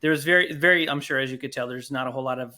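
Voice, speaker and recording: A man's voice: mid-range voice, very fast, bright, forceful tone. A man speaking as a podcast guest in a casual interview, talking about his production work.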